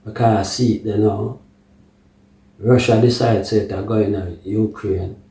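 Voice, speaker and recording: A man with a Jamaican accent giving an unscripted political monologue in patois-tinged phrasing, deliberate and halting, often checking that the listener follows. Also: fairly low pitch, steady tone, warm tone. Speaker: political commentator. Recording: echoey room, distant microphone.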